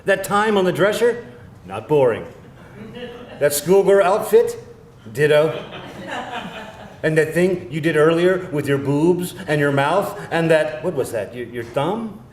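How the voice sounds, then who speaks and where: A man's voice: a little high, lively intonation, a little slow, pauses often. A man playing a sheepish, defensive lover with a hesitant, stammering manner in a comedic live screenplay reading. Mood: eager, reassuring. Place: indoor venue, live reading. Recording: echoey room, faint noise.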